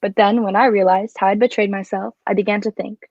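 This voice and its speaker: A woman's voice: mid-range voice, level tone, fast, darkish tone, dry recording, dull audio, lightly acted. A woman acting a clever, bold, flirtatious adventuress in a radio drama, with a poised, formal and coy way of speaking. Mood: poised, triumphant. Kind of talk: adaptation of a 1940s radio drama.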